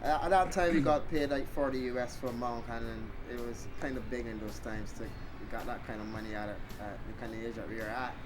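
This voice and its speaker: A man with a Barbadian accent giving casual, halting interview answers as a jockey. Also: medium pitch, steady tone, noisy background.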